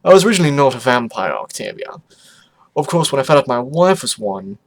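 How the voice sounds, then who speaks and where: A man's voice: medium pitch, very animated, fairly quick, vocal fry. A man voicing a suave, urbane vampire pony father with a genteel, teasing manner in a story reading. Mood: sly, amused.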